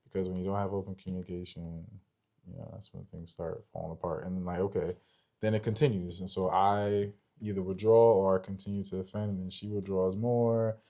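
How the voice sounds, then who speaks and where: A man's voice: low voice, little pitch movement, brisk, mellow, lo-fi sound. A young man with an American accent co-hosting a relationship show in loose, informal chat, often checking for agreement. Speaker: co-host of a couples' relationship show. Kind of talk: casual chat.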